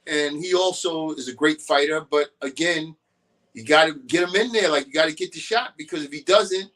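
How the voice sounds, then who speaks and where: A talkative man with a somewhat high voice chats as a guest on a boxing show. His talk is loose and opinionated, rolling from one point to the next. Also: moderate pace, a bit bright, low-quality recording.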